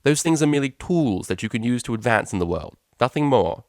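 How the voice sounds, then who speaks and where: A man's voice: medium pitch, animated, fairly quick, no echo, clean studio sound, voice pushed up, close to an everyday voice. A man voicing a haughty, commanding pony mother with a clipped, condescending manner, in a story reading. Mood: scolding, condescending.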